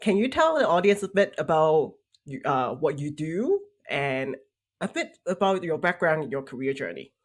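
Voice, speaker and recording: A young woman host with a husky, slightly breathy voice. She talks in an earnest, measured way, and her pitch moves a lot as she goes. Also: big pitch swings. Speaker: podcast host. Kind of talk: podcast intro and interview.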